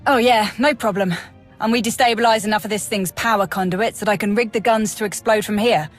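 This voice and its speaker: A woman's voice: medium pitch, fairly quick, soft background music, lightly acted. Woman as a breezy, sardonic army major. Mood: cocky. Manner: breezy, sardonic, plain-spoken. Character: cocky, wisecracking female Imperial military officer and saboteur. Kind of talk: video game voice acting.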